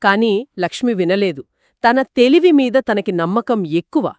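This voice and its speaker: A woman co-narrating a folktale for children in a conversational, expressive way, speaking directly to young listeners. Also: mid-range voice, normal speed, no echo. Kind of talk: conversational two-person storytelling for children.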